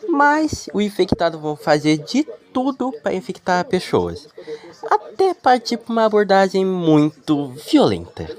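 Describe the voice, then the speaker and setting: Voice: medium pitch, a little mellow. A narrator with a Brazilian accent giving a casual, explanatory commentary voice-over as a video creator.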